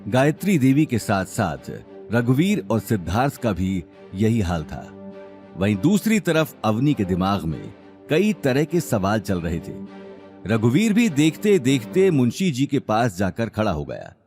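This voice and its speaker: A man's voice: on the low side, wildly swooping pitch, measured pace, a little mellow, soft background music. A man narrating a scripted audio drama story in a dramatic style.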